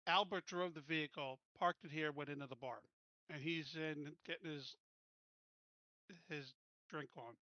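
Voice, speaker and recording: A man plans his moves in a tabletop game in casual talk. His voice is very bright and forceful and a bit high for a man. It sounds close and dry. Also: unhurried, long pauses, dry recording, highs cut off.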